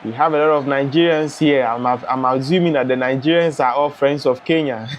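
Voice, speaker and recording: A young man from Ghana hosting a live football watch-along, chatting and reading out viewer comments in a relaxed way. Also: medium pitch, a little fast, a little hiss or hum. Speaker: live stream host.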